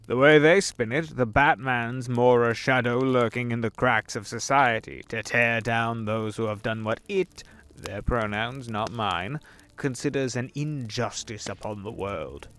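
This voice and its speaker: A man's voice: medium pitch, measured pace, a bit bright, close to an everyday voice. A man playing an urbane, sly old devil in an audio drama, wordy and courteous, savoring his phrases. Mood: musing, admiring. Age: older.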